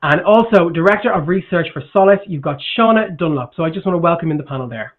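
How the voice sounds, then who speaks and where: A high-pitched man hosts a panel over a video call, eager and repetitive in his phrasing. The audio is a little dull, as though through earbuds. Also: expressive, fast talker. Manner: enthusiastic, repeats words. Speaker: host. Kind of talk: virtual conference panel hosting. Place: home room.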